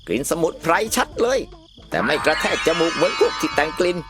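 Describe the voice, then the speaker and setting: Woman playing a brash, cranky old cartoon grandmother, swooping pitch. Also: big pitch swings, fast, music in the background. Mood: grumbling, opinionated. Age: older. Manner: brash, scolding, cursing bluntly. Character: cranky, foul-mouthed old village grandmother. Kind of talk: cartoon comedy dialogue.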